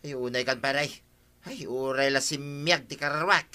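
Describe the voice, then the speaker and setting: An actor with an Ilocano Filipino accent playing a stubborn, defensive villager in a radio comedy drama, arguing in colloquial speech. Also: male voice, medium pitch, moderate pace, pushed and bright, clean tone, close to an everyday voice. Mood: protesting. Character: stubborn, brazen male villager, defensive and excuse-making.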